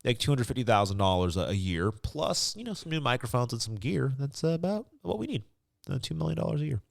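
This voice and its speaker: A man's voice: mid-range voice, big pitch swings, fast, vocal fry, dry recording, clean studio sound. A man co-hosting a podcast in casual chat, enthusiastic and given to exclamations. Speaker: podcast co-host.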